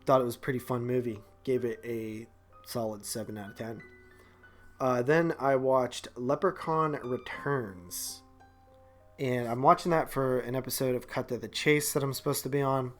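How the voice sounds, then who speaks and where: A man talks as a podcast co-host in a casual movie-review chat. His delivery rambles and is full of slang, at an ordinary male pitch. Faint guitar music plays behind his voice. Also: normal speed.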